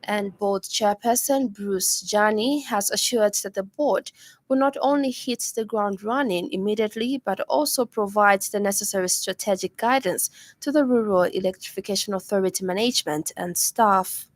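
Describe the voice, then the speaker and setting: A woman's voice: medium pitch, moderate pace, no echo. Zambian woman reading news, dry studio sound. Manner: formal. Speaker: radio news presenter. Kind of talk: scripted news bulletin reading. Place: radio studio.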